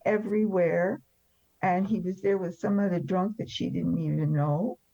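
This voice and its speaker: A woman's voice: on the low side, steady tone, normal speed, creaky, mellow, dull audio. An older woman in her seventies or beyond, telling her personal story candidly in an interview in a plain-spoken, matter-of-fact way. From born in France, emigrated after the war. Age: 70s or older.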